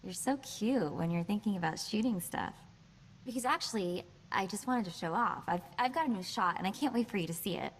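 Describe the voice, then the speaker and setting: Actress doing young woman hacker sidekick, sci-fi game, quick-witted n snarky, chatty, some noise behind her. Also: mid-range voice, lively intonation, natural voice. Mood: playful, teasing. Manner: sardonic, chatty. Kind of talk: video game voice acting.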